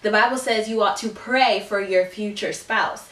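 A woman's voice: medium pitch, moderate pace, a bit bright. Earnest young woman in an echoey room. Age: young adult. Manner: earnest, explaining at length. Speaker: guest. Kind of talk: casual faith chat. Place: living room, group on a couch. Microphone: camera mic at a distance. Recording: echoey room, distant mic.

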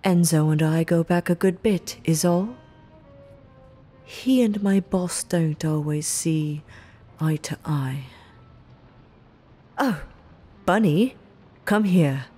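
Woman acting a slow, husky, coy mob eccentric. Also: on the low side, dark tone, soft background music. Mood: coy, teasing. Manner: coy, theatrical, drawn-out old-fashioned phrasing. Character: playfully menacing woman, theatrical mob underling, teasing and eccentric. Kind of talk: ASMR audio roleplay acting.

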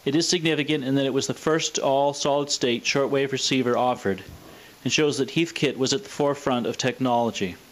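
A man presenting scripted technical narration in a measured, matter-of-fact manner. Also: medium pitch, low-quality recording. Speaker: presenter of a vintage radio demonstration.

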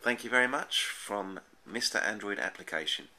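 A man's voice: fairly low pitch, lively intonation, moderate pace, noisy background. A man giving a casual, unscripted app review in a hesitant, rambling way, often repeating his words. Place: indoors at a desk, off screen.

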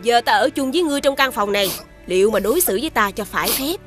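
A woman plays a scheming cartoon witch in a dubbed fairy tale, her voice set a little high for a woman. She is haughty and commanding toward servants and children, then turns sugary and polite for the king. A music bed plays clearly beneath her. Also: expressive, fast talker, natural voice. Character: scheming woman, jealous witch posing as queen's sister. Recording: cartoon soundtrack with music behind.

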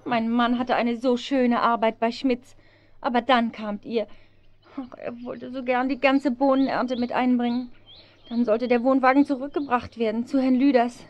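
Woman playing troubled mother, high voice, plaintive, accusing, children's radio drama. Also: steady tone, normal speed, muffled recording, natural voice. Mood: distressed, reproachful. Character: harried, reproachful mother hiding with her family. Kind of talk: German children's radio play acting.

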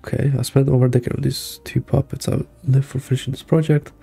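A man in his thirties streaming a game, reacting casually and reading text aloud in a low-key, offhand way. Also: flat delivery, quick pace, gravelly, mellow, slightly rough. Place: small indoor room. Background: game music.

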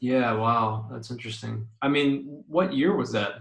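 A man's voice: medium pitch, a little slow, creaky, a bit bright, reverberant. A young American man hosting a casual video call interview, eager and informal, with lots of likes and ums. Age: young adult. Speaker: podcast host. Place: home room indoors. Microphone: video call webcam.

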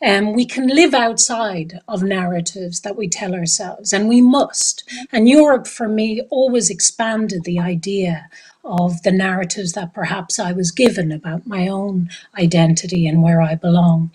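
Husky earnest woman on a video call. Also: mid-range voice. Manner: earnest, measured, with soft breathy drops. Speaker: festival panelist. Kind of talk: reflective panel talk. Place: home living room.

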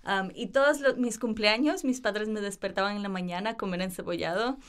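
A woman's voice: mid-range voice, brisk, clear, resonant tone. A young woman, chatting cheerfully as a guest on a student podcast interview. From Ecuador. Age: 20s. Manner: cheerful, laughs often, fillers like "um". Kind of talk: casual interview chat.

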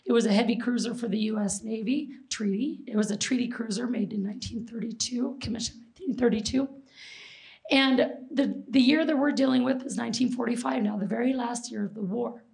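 A middle-aged woman from Seattle with a hoarse, failing voice, giving a lively history presentation and quizzing an audience. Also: on the high side, a little fast, low-quality recording. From Seattle, Washington. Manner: lively, jokes with the audience, asks questions. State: hoarse, losing her voice. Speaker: presenter and flight attendant. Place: large auditorium stage. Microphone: handheld mic.

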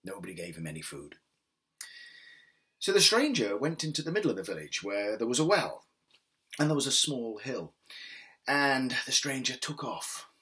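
A middle-aged man with a husky, breathy voice telling a story expressively and without hurry, with dramatic pauses. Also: lowish voice, pushed and bright. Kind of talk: oral storytelling for families. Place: indoors, small wood-panelled home room. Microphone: webcam at desk distance.

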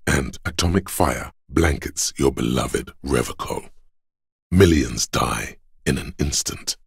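A detective's bodily inner voice for a video game, grave and commanding. It is a bottomless bass, thick with gravel and very husky. Every line lands with solemn, portentous deliberation, like dramatic narration. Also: male voice, no room sound, studio quality, full character voice. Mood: ominous, grave. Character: Endurance, grave inner voice of a battered detective's body.

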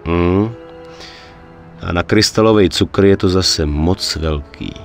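A level-headed, wary gangster in a video game drama. His voice is very low, and he talks in a dry, blunt way, tough and given to swearing. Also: soft background music. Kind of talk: dubbed video game cutscene acting.

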